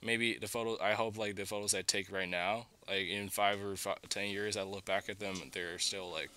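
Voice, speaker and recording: A teenage student from China, a young man chatting casually as a podcast guest, haltingly and repeating words as he goes. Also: fairly low pitch, fairly even intonation, pushed and bright, a little hiss or hum. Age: teens, a student. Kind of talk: casual chat.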